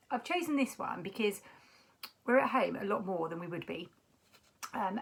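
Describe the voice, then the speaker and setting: A woman with an expressive manner, chatting to young children and reading a picture book aloud as their teacher. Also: mid-range voice, creaky, husky. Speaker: primary school teacher reading a story. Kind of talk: children's story read-aloud with friendly chat.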